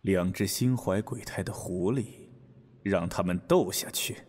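A man's voice: low-pitched, unhurried, a little mellow. A man acting a scheming, contemptuous emperor in a Chinese period audio drama, imperious and dismissive. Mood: scornful, scheming.

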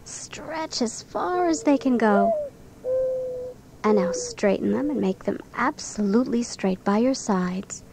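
A woman narrating a guided bedtime relaxation for children in a gentle, soothing, unhurried manner. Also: on the high side, very animated. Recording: clearly noisy recording with background ambience.

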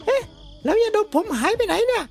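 Very high-pitched cheeky cartoon boy. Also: lively intonation, a little fast, creaky, a little mellow, heavily acted. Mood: indignant, pleading. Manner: earnest, rambling explanations with cheeky asides. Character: cheeky, naive schoolboy grandson, eager and chatty. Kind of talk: cartoon comedy dialogue.

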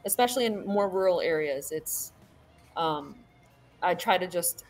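A woman's voice: lowish voice, lively intonation, unhurried. A woman in her thirties chatting casually on a video call from home, laughing easily, with many ums. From born in California, raised in Virginia. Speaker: panel guest. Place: home room indoors. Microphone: webcam on a video call.

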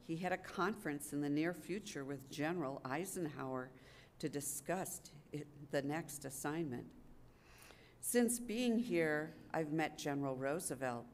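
An older woman reads a wartime letter aloud as a readers theater performer at a podium microphone. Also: sits low. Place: public gallery event room, indoors. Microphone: gooseneck podium microphone. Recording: clearly noisy live room recording.

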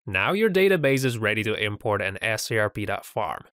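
A man presenting a scripted software tutorial voice-over, upbeat and giving step-by-step instructions. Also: fairly low pitch, sing-song, fairly quick, clear, resonant tone, no room sound, clean studio sound.

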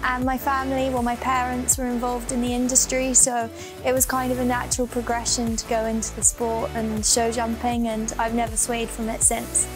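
A young British woman speaks in a high voice with very little rise and fall. She is a rider in her early twenties talking in a documentary interview, with music playing behind her. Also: completely flat delivery, normal speed, low-quality recording. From Great Britain. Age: early 20s. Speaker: show jumping rider. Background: background music.

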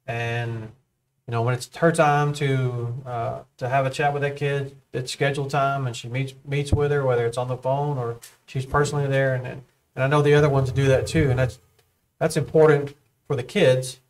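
A man making informal, halting remarks as a board member, often repeating words. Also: medium pitch, fairly even intonation, poor audio. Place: school board meeting room. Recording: faint room noise.

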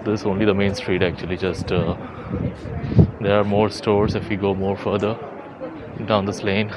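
A man's voice: fairly low pitch, little pitch movement, moderate pace, a loud crowd. A young man giving casual, chatty walking-tour vlog commentary outdoors, thinking aloud as he goes. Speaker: vlogger. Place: outdoors on city streets while walking. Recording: noisy city street, traffic ambience.

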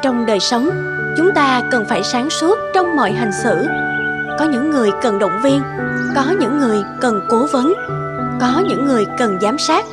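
A woman narrator giving a scripted moral in a measured, didactic way. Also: high-pitched, expressive. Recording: loud music behind.

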